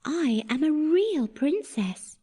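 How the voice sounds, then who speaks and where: A woman's voice: high-pitched, big pitch swings, takes it slowly, warm tone, exaggerated voice. A woman playing a polite, pleading young princess lost in a storm in a children's fairy tale. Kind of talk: scripted children's story dialogue.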